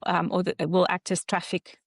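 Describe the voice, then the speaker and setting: A woman with a South African accent, a clinician explaining slides in an online webinar, halting, with fillers and self-corrections. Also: fairly low pitch, completely flat delivery, dry recording, clean studio sound.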